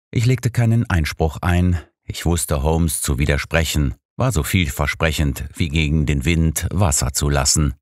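A man's voice: rumbling low, a little mellow, dry recording, clean studio sound. A man reading a scripted first-person story as an audiobook narrator.

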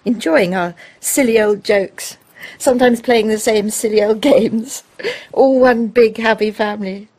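A woman's voice: fairly high pitch, unhurried, darkish tone, poor audio. An actress playing a proud, fond, domineering middle-aged mother in a period radio play, with a grand, fussing manner. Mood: fond, wistful.

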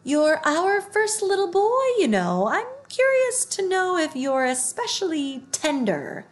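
A woman voicing menacing, hungry talking tigers in a children's storybook, with taunting, emphatic, drawn-out words. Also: very high-pitched, big pitch swings, pitched above the performer's own voice, heavily acted. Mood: sly, taunting. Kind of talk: children's storybook read-aloud.

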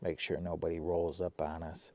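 A man from Michigan vlogging casually from inside a parked car, talking low-key and half to himself. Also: little pitch movement, normal speed. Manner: low-key, quiet, half-muttered asides. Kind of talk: casual vlog commentary. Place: inside a parked car, cold and windy outside. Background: faint wind outside.

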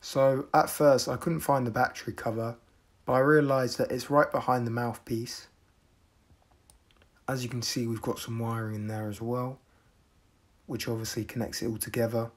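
A man from the UK narrating a casual product review in a measured, deadpan manner. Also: medium pitch. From United Kingdom.

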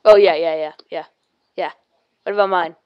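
A woman's voice: fairly low pitch, fairly even intonation, moderate pace, clear, resonant tone, dry recording, lo-fi sound. A woman chatting casually with friends over a gaming voice chat. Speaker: gamer in a group voice chat.

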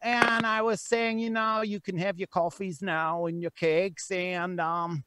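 Man playing eccentric woman writer, high-pitched, slow and halting, German-American hybrid accent, rambling comedy character. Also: flat delivery, bright, forceful tone, no echo. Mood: rambling, chatty. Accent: muddled hybrid of German and American. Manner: halting, rambling, repeats himself. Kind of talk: improvised character comedy on a live stream.

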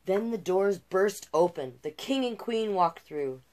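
A middle-aged woman reading a children's picture book aloud with expressive delivery and casual asides. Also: mid-range voice, slight background noise, poor audio. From Canada. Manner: unhurried, expressive storybook delivery. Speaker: storytime host and drag king. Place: small cluttered room indoors.

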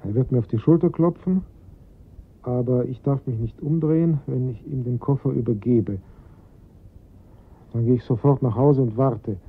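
An actor playing an anguished, blackmailed father in a radio crime drama, speaking in a strained, halting way. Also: medium pitch, slightly rough. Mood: tense, submissive. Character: desperate, blackmailed father, an anguished office man. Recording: dull, lo-fi radio play recording.

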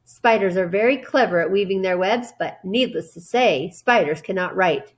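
A woman's voice: mid-range voice, moderate pace, lightly acted. A woman voicing a pompous male fairground announcer in grandiose, formal oratory for a storybook. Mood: grand, assured.

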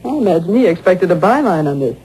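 An actress playing a brisk, wry, sharp-witted newspaper secretary in an old radio drama. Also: female voice, lowish voice, big pitch swings. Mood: matter-of-fact. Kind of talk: 1930s-40s radio drama acting. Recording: noisy old-time radio show taped off air.

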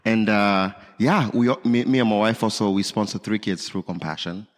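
A man's voice: on the low side, fairly even intonation, moderate pace. A man from Kenya with a Kenyan accent, a guest on stage giving his testimony, earnest and hesitant. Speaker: guest speaker. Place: large church sanctuary. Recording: reverberant church hall.

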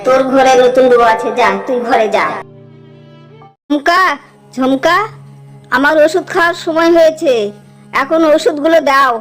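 An elderly matriarch played by a woman in a cartoon moral story, with a high-pitched voice. She speaks in a dramatic, admonishing way as head of a landlord's household, with music playing behind her. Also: a little slow, pauses often. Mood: stern, commanding. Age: older. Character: stern old landlord-family mother-in-law, later frail and remorseful. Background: background music.

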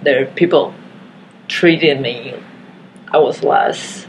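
A woman from Taiwan, speaking haltingly and earnestly as a guest in a casual living-room discussion. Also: a bass voice, slight background noise, low-quality recording. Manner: slow, halting, earnest, frequent fillers. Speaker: podcast guest.

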